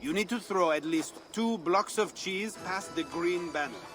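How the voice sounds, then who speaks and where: A man's voice: sits high, measured pace, fairly bright tone. An actor playing a playful, pleasure-loving Frankish man in a video game, with a teasing, suave and theatrical manner. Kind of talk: dramatic video game cutscene acting. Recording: music under the voice.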